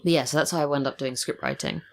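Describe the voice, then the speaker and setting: A woman's voice: very deep voice, big pitch swings, fast talker. A British woman chatting casually and reflectively as a podcast guest, telling anecdotes about her work. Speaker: podcast guest, audio drama writer and voice actor. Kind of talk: casual interview chat. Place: studio.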